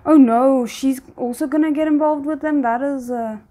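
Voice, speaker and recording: A woman giving casual reaction commentary, thinking aloud in an expressive way. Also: high voice, moderate pace. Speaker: reaction video host.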